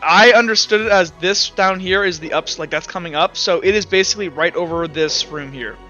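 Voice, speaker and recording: Male game master, high bright voice, animated improvised narration, slightly muffled audio. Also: a little fast, soft background music.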